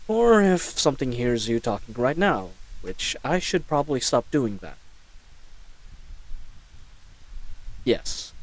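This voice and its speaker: Man acting a babbling, flustered knight, swooping pitch. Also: poor audio. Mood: flustered muttering. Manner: rambling, nervously chatty, stammering. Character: babbling, flustered young male knight caught in a snare. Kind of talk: scripted solo audio roleplay acting.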